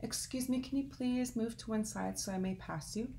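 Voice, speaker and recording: A woman voicing a small polite male wren bird in a told story, asking in a high polite voice. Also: normal speed, creaky, warm tone.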